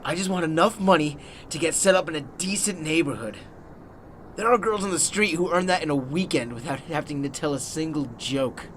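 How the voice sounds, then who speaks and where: A man playing a struggling young comedian and husband in a radio drama, halting, wounded and defensive. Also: a little high, a bit bright, a little hiss or hum, natural voice. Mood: despairing. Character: struggling young comedian husband, anxious, bitter and self-pitying.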